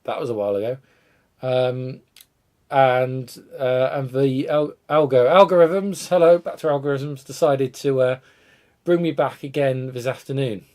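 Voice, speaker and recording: A man's voice: medium pitch, measured pace, a little mellow. A man, congested from a cold, chatting casually and rambling as he tinkers with hardware on a live stream, poking fun at his own disorganisation. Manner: rambling, self-deprecating, thinks aloud while tinkering. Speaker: retro computer channel host.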